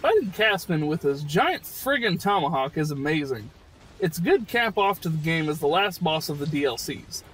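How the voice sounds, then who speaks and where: Lively excitable man narrating gameplay. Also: a little high, moderate pace, husky, low-quality recording. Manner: excitable, profane, jokey. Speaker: gaming video host. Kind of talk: casual voice-over commentary.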